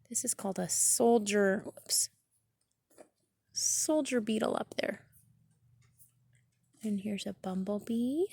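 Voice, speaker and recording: A woman's voice: mid-range voice, darkish tone, slightly rough, dry recording. Woman reading a picture book aloud outdoors. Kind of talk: read-aloud with explanatory asides to children. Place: outdoors in a backyard garden.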